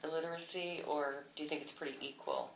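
A woman interviewer asking short, calm questions, recorded at a distance. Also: deep voice, fast, roomy sound, slight background noise.

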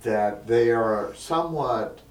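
A man with a lowish voice asks long, deliberate questions at a very slow pace. He sits back from the microphone, so his voice sounds distant and roomy, with a faint hum behind him. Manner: measured, careful questioning. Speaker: interviewer. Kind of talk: oral history interview. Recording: distant, roomy mic with faint background noise.

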